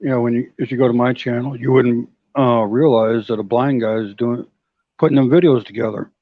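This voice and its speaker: A man's voice: mid-range voice, fairly even intonation, brisk, no room sound, phone-quality sound. A man chatting casually on a live stream, dropping dry teasing remarks.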